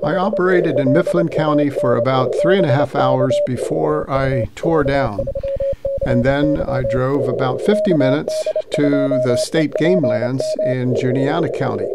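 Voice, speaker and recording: Creaky-voiced man over heavy noise. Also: mid-range voice. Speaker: amateur radio operator recounting a contest weekend. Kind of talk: informal vlog narration.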